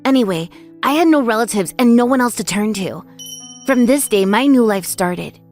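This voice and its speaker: A woman's voice: on the high side, animated, moderate pace, a little mellow. A woman voicing a frightened, lonely orphaned five-year-old boy, narrating his own story earnestly and emotively in an anime-style dub. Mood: wistful, lonely. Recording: voice over background music.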